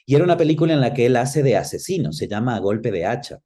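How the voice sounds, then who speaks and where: Man, Ecuadorian accent, dark mellow tone, medium pitch, reflective, conversational interview answers. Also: very animated, fast. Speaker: interview guest, film professional. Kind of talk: conversational interview, personal recollection.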